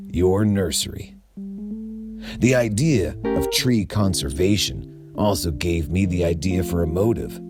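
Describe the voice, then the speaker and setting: Deep-voiced man reading a story aloud, slow and expressive, faint guitar behind, dry sound. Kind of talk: reading a children's book aloud.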